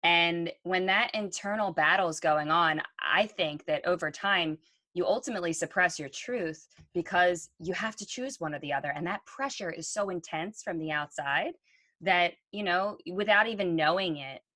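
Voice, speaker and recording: A woman's voice: on the low side, normal speed, pushed and bright, no echo. A woman co-hosting a podcast, talking earnestly and reflectively with frequent ums. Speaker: podcast co-host. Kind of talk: conversational podcast talk.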